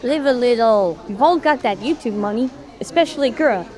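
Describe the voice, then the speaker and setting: A woman playing an upbeat, bossy young woman who leads her friends in an audio drama, with a brisk, teasing manner. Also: a little high, lively intonation, a crowd in the background, natural voice. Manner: brisk, teasing, take-charge. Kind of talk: animatic audio drama acting.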